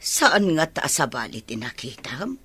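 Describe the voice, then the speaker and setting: A woman's voice: a bass voice, very animated, normal speed, a bit bright, breathy edge, full character voice. A woman playing a worried, questioning mother in an audio drama, fretful and probing, with a northern Philippine accent. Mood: anxious.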